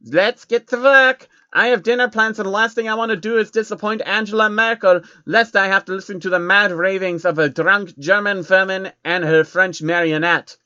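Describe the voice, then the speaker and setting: A man's voice: sits high, fairly even intonation, normal speed, hardly any pauses, bright, forceful tone, clear, resonant tone, no echo, dull audio, voice pushed up. A man voicing a smug, fussy, pompous rich baron in a storybook reading. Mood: haughty. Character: smug scheming rich male banker baron, fussy and haughty.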